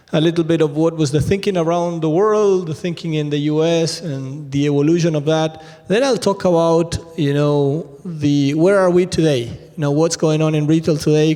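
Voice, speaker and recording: A man's voice: a little high, mellow. A man in his fifties from Argentina with an Argentine accent, giving a conversational keynote talk at a conference, with many fillers and restarts. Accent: Argentine Spanish. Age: 50s. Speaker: retail executive keynote speaker. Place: large conference hall. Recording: echoey hall with long reverb.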